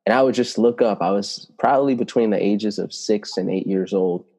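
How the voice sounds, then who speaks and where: Mellow low-voiced man, reverberant sound. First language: Italian. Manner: reflective, flowing, slows to stress key words. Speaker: spiritual teacher and healer. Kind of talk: earnest personal storytelling.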